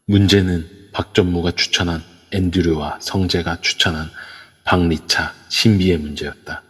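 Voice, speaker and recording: Male narrator, very low voice, husky, steady telling, reverb-heavy audio. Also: moderate pace. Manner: steady storytelling. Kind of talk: scripted narration.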